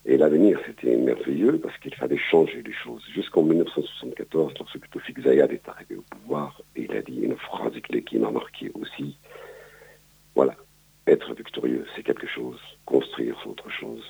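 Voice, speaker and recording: Very deep gravelly older man. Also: normal speed, dark tone. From Palestine. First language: Arabic. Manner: hesitant, many fillers and repeated words. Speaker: guest. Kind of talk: radio interview, life story. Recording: dull radio broadcast sound.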